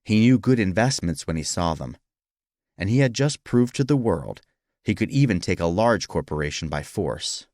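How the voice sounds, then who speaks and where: A man's voice: low-pitched, very animated, moderate pace, darkish tone, no room sound, clean studio sound. A man reading scripted historical fiction as a dramatic audiobook narrator.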